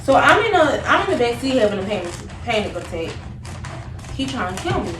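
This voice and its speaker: Young woman, chatty storytelling, slightly high pitch, distant mic in echoey room. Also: brisk. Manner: animated retelling with quoted dialogue. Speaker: vlogger. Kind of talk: casual storytelling chat. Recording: roomy, noisy, distant microphone.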